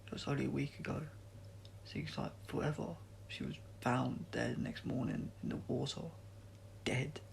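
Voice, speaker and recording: A man reading as an uneasy, croaky young athlete. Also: mid-range voice, steady tone, normal speed, a little hiss or hum. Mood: worried. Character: anxious, evasive young male athlete. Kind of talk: audiobook character dialogue.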